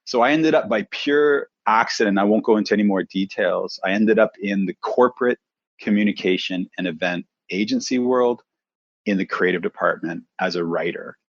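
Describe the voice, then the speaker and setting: A middle-aged man from Canada, a presenter coach telling his career story in a relaxed, thoughtful interview. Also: lowish voice, moderate pace, no room sound, dull audio.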